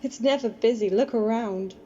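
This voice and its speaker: Mellow, guarded young homeless woman, played by a woman. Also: a little high, moderate pace, lightly acted. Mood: wistful. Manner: terse, understated, wistful. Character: guarded, quiet homeless woman of twenty-six. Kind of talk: amateur radio play acting. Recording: dull, slightly noisy home recording.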